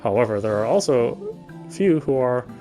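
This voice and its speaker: Man, mid-pitched voice, read-aloud game narration, faint background music. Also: expressive, normal speed, dark tone. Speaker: let's player reading a visual novel aloud.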